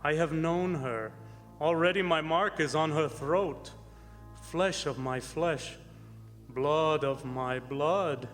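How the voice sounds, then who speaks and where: A man's voice: a little high, takes it slowly, a bit bright. A man playing a possessive, menacing vampire in a staged radio drama, speaking in a solemn incantation. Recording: reverberant hall, music behind.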